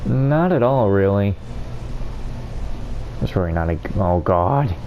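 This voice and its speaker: A man's voice: on the low side, expressive, takes it slowly, background noise. A man giving casual commentary on a video game playthrough and reading bits of game text aloud. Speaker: gaming video host. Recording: dull mic, faint music behind. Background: game music.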